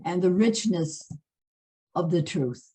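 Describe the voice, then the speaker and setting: A woman's voice: fairly low pitch, animated, slow pace, mellow, slightly rough. A woman speaking effusively in a casual group discussion. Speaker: participant in a community discussion.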